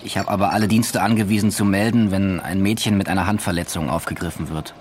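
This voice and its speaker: A man's voice: low-pitched, steady tone, fast, a little hiss or hum, lightly acted. A man playing a careful junior police detective in a radio drama, reporting in a factual, reserved way. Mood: careful, deferential. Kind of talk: German radio drama acting.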